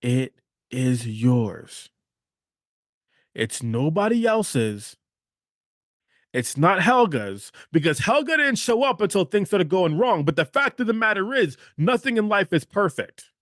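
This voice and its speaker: A man's voice: high-pitched, big pitch swings, takes it slowly, fairly bright tone, no echo. A male podcast host delivers a motivational monologue in a slightly raised voice. He talks emphatically, repeats phrases for weight and keeps checking in with "okay?". The recording is dry and close.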